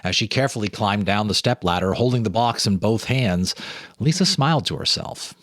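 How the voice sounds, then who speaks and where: Male storyteller with a lowish voice, deliberate and dramatic for ghost tales, recorded dry. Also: animated, fairly bright tone, dry recording. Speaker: host and narrator reading a story. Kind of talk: dramatic ghost story reading with casual asides. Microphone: speaking to camera, reading off a teleprompter.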